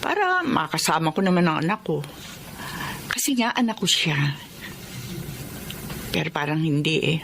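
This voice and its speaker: A woman's voice: low-pitched, sing-song, moderate pace, creaky, pauses often, noisy background, heavily acted. An actress playing a protective, worried middle-aged mother in a Filipino radio drama, with an earnest, concerned delivery. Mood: wistful, reserved.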